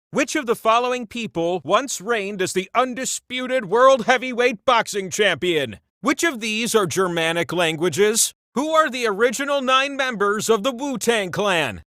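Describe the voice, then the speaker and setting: A man's voice: high-pitched, sharp tone, no room sound, clean studio sound. A man playing a pompous, wry godlike wheel who hosts a quiz game with theatrical, mock-solemn grandeur. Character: pompous, theatrical omnipotent wheel deity on a mountaintop. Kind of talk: theatrical game show hosting.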